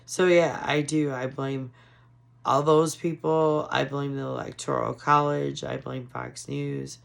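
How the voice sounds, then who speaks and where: A woman giving casual, frustrated political commentary on her vlog, hoarse from losing her voice. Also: rumbling low, steady tone, a little slow. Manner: frustrated, ranting. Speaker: commentary vlogger.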